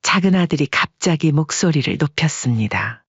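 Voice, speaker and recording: A man narrating a family drama story in an even, dramatic storytelling manner. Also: a little high, moderate pace, slightly rough, dry recording. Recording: dull lo-fi dry sound.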